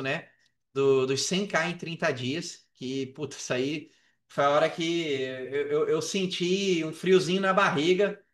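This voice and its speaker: A Brazilian man telling his story casually as a podcast guest, in an animated, slangy way. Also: on the high side. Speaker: podcast guest, affiliate marketer. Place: indoors on an online call. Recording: roomy echo on a call.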